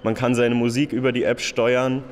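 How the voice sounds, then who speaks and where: A man's voice: mid-range voice, level tone, moderate pace, a little hiss or hum. A young man in his twenties explaining his design work at length, with fillers, into a handheld mic in an exhibition hall. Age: 20s. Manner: explains in long, detailed sentences with fillers. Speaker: design graduate. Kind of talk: interview at an exhibition. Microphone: handheld interviewer mic.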